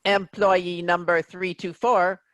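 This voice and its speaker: A woman's voice: on the low side, level tone, slow pace, a bit bright, no echo. A woman in a play reading, voicing a stern, coldly officious automated spaceship captain. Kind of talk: staged comedy play reading.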